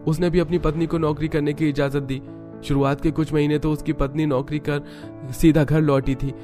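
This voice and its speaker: A man's voice: on the high side, a little mellow, pitched above the performer's own voice, close to an everyday voice. A man voicing a distrustful, cautioning husband in a cartoon story. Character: distrustful husband, a factory worker, refusing firmly.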